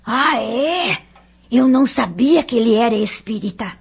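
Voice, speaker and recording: A woman's voice: a little high, animated, measured pace, creaky, slightly rough, full character voice. A woman playing a curious, caring, fussing mother in an audio drama, inquisitive and motherly in manner. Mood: concerned, relieved. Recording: dull lo-fi audio.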